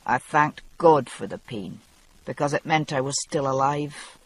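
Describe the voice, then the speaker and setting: A narrator voicing a young Scottish man who speaks quietly and matter-of-factly, gruff but gentle, in a husky voice with a Scottish accent. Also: measured pace, slight background noise, natural voice. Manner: low, quiet, matter-of-fact. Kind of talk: audiobook character voice.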